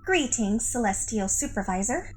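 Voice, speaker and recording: A woman playing a deferential, wry alien underling giving measured reports in a sci-fi satire audio play. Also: fairly high pitch, big pitch swings, music in the background, rough recording.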